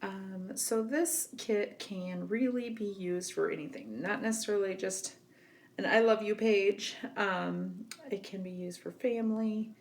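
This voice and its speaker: A woman with a scratchy voice from a cold, giving a relaxed, chatty scrapbooking tutorial. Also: medium pitch, measured pace. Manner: relaxed, chatty, many ums. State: getting over a cold, scratchy voice. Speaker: craft instructor.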